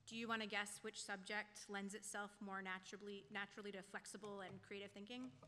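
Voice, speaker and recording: A woman giving a prepared, reflective sermon as a lay speaker, in a measured, questioning manner. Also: medium pitch, fairly even intonation, pushed and bright, a little hiss or hum. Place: church sanctuary. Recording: long church hall reverb.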